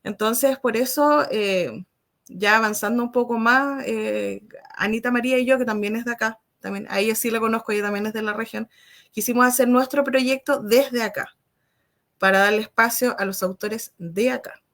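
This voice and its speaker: A Chilean woman speaking as a guest writer in a live interview, explaining her views in a measured, thoughtful way. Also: on the high side, a bit bright.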